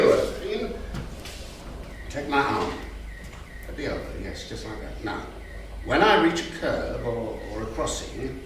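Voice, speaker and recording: An actor playing a gentle blind grandfather and former drill sergeant in a staged reading, affectionate and unhurried. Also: mid-range voice. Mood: fond. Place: theatre stage. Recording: echoey theatre from far off, faint noise.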